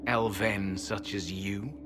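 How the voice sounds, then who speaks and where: An actor playing a proud, ancient elven temple guardian in a fantasy game, speaking in grave, formal, archaic phrases with disdain. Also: male voice, fairly low pitch, fairly even intonation, takes it slowly, over music. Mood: solemn. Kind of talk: dramatic fantasy game acting.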